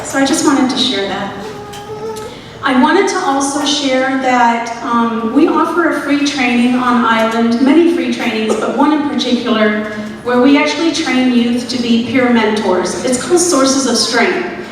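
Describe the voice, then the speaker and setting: Southern woman giving an encouraging talk at a community event, kinda high voice, sounds far off in a big echoey hall w/ background noise. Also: unhurried. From Atlanta, US South. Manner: earnest, encouraging, leads the crowd in repeating phrases. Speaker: community speaker at a suicide prevention event. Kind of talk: public speech to an audience.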